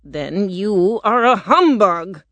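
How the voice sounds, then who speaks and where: Woman playing an outraged, very slow-speaking Tin Man. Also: mid-range voice, lively intonation, a bit bright, no room sound. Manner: slow, emphatic, confrontational. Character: Tin Man, accusing, outraged male metal man. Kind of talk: storybook character voice.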